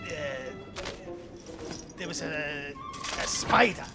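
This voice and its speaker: A grandiose fake fortune teller. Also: male voice, fairly high pitch, slow pace. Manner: grandiose, theatrical. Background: music.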